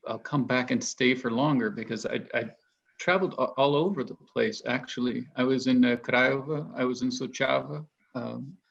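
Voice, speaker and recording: A man's voice: medium pitch, vocal fry, dull audio. A man from Texas, a literary translator, talking casually and hesitantly with many restarts. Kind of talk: casual panel conversation.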